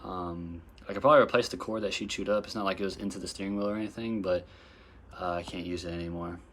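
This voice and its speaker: A young man, hoarse, talking casually and swearing as he shows his gaming setup in a phone-recorded vlog. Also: sits low, fairly even intonation, fast, fairly bright tone, a little hiss or hum. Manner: casual, swears often, lots of fillers. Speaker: gaming vlogger. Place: bedroom at home. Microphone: phone camera.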